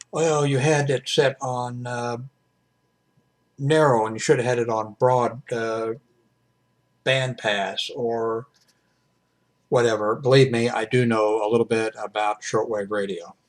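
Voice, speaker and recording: A man in his seventies talking casually and haltingly in an unscripted home video review, with frequent mid-sentence pauses. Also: mid-range voice, steady tone. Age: 70s. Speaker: radio hobbyist reviewing a portable shortwave radio. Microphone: webcam microphone.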